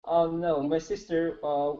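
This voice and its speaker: A man's voice: on the high side, flat delivery, a little slow, dark tone, clean tone. A man from the Philippines with a Filipino accent, a polite, hesitant student chatting in an online class over a video call. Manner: polite, hesitant with many uhs. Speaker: language student. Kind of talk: casual chat in class. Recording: dull lo-fi video call audio.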